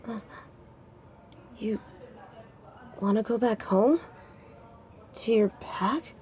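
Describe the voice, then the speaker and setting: A woman's voice: a little high, extremely slow, a little mellow. Woman acting a wry, protective young lover. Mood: worried. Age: young adult. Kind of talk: intimate audio drama acting.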